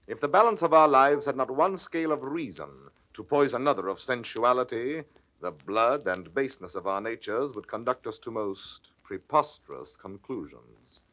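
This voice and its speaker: An actor playing a cynical, scheming young soldier villain in a classical audio drama, mocking and persuasive in a declamatory stage manner. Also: male voice, medium pitch, animated, moderate pace, bright, forceful tone, poor audio. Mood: mocking, scornful. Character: scheming cynical soldier, male villain, aged twenty-eight. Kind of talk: classical radio-play stage acting.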